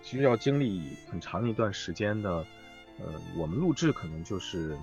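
A man chatting as a voice actor guest in a live interview, phrasing things haltingly. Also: fairly low pitch, lively intonation, darkish tone, soft background music. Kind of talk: casual live interview chat.

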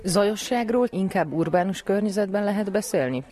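A man's voice: sits high, animated, darkish tone. A man hosting a science programme, reading scripted narration and putting questions to a guest. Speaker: radio science programme host.

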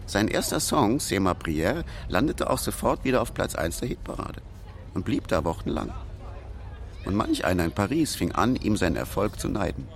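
A man's voice: lowish voice, sing-song, normal speed, vocal fry, over music. A man narrating a story for a radio feature in a storytelling style.

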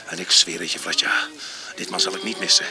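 An actor playing a rough, embittered ex-convict bent on revenge, brooding and dramatic in a radio drama. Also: male voice, mid-range voice, sing-song, brisk, pushed and bright, full character voice. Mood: bitter, vengeful.

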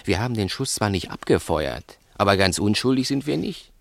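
A low, gravelly male crook in a 1960s radio play. He is cunning and menacing, pressing his point with insistent, theatrical questions and talking down to his accomplice. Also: big pitch swings, normal speed, dry recording. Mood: agitated, mocking.

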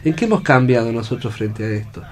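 An Argentine man leads a thoughtful radio discussion in a mid-pitched voice. He picks his way haltingly through his ideas and often tags on a short questioning word. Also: big pitch swings. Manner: deliberate, halting, peppers talk with rhetorical check questions. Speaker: radio talk show host.

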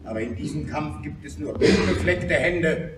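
An actor playing a fervent Paris Commune revolutionary, speaking in an emphatic, declamatory way in a radio drama. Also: male voice, a little high, moderate pace, close to an everyday voice. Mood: grim, accusing. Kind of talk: radio drama acting, political oratory. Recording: echoey, distant, noisy recording.

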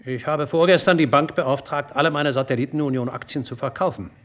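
A man's voice: mid-range voice, brisk, a bit bright, close to an everyday voice. An actor playing a scheming, persuasive male doctor in a science fiction radio play. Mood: resolute, urgent. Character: shrewd, scheming male doctor tempting a colleague.

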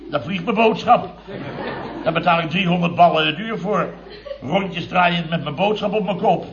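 An actor playing a blustering, aggrieved building contractor boss, telling of his mishaps with indignant, drawn-out emphasis in 1970s radio comedy style. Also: high voice, a crowd in the background. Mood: exasperated. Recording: dull old radio recording.

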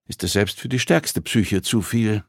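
A man voicing a female police psychologist who is polite, persistent and gently probing. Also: fairly low pitch, unhurried, dry recording, studio quality. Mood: concerned, probing.

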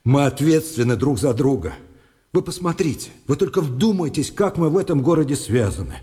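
An actor in a Russian radio play playing a principled, experienced defence lawyer, measured, probing and ironic. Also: male voice, on the high side, very animated, mellow. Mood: earnest.

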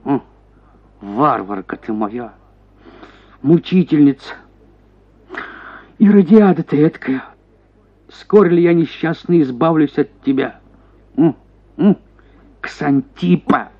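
An actor voicing a henpecked prosecutor, a self-pitying husband who grumbles and exclaims theatrically in a radio story. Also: male voice, on the high side, slow pace, long pauses, lo-fi sound. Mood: sly, bitter. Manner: plaintive grumbling that bursts into excited exclamations.

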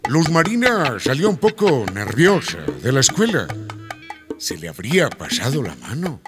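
A man's voice: mid-range voice, big pitch swings, moderate pace, close to an everyday voice. A man reading scripted narration for a radio drama in a storytelling role. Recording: music behind narration.

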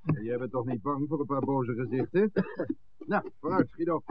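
A man's voice: mid-range voice, expressive, moderate pace, natural voice. An actor playing a scheming, impatient male gang leader in a 1960s radio drama, with a curt, commanding manner. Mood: impatient, bossy. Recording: muffled, phone-like old radio recording, clear hiss.

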